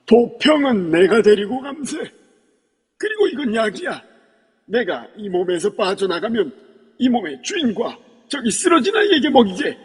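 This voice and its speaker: A man's voice: sits high, big pitch swings, normal speed, husky, exaggerated voice. A man voicing the spirit of a dead emperor who has taken over a living man, speaking in stern, archaic royal speech. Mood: gentle, persuading. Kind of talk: Korean fan audio drama acting. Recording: echoey long reverb.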